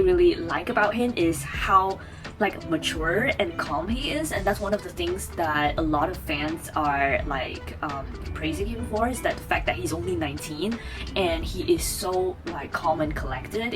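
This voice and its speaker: A woman's voice: lowish voice, lively intonation, moderate pace, music in the background. A woman in her twenties from Taiwan, chatting to camera as a vlogger, rambling with many fillers and restarts. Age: 20s. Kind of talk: casual chat to camera.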